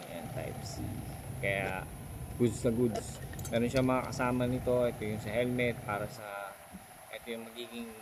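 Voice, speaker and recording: A man with a Filipino accent giving a casual, informal unboxing and how-to vlog as a motorcycle gear reviewer, often repeating his phrases. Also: lowish voice. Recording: clearly noisy recording with vehicle ambience.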